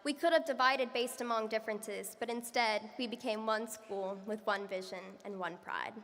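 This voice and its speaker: Young woman, graduation speech, earnest, bright, long hall reverb. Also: fairly high pitch, normal speed, a little hiss or hum. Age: young adult. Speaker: student speaker. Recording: echoey hall from far off.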